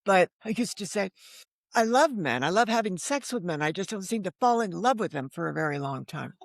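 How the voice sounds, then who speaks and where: Older woman with a gravelly, creaky voice. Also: medium pitch, animated, brisk, dry recording, clean studio sound. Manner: frank, self-deprecating, wry; quotes dialogue while telling stories. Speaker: podcast guest, novelist. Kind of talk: candid personal storytelling in an interview.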